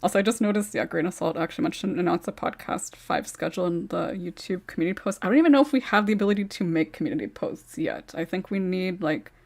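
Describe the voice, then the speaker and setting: Gravelly-voiced woman hosting a chat. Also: lowish voice, quick pace, hardly any pauses. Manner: chatty, laughs between questions. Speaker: podcast host. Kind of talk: casual panel chat.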